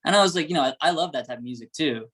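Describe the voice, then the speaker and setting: A man's voice: a little high, very fast, a bit bright. A man with an American accent chatting casually as an interview guest, rambling through stories with lots of filler "like". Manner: rambling, peppered with "like". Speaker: band member, interview guest. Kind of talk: casual chat.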